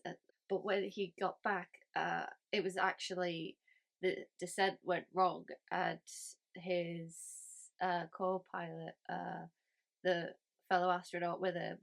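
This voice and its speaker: A woman's voice: fairly low pitch, gravelly. A woman who is ill with a cold, chatting casually about a story she read, rambling with many fillers and restarts. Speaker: book review host. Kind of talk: casual book review chat.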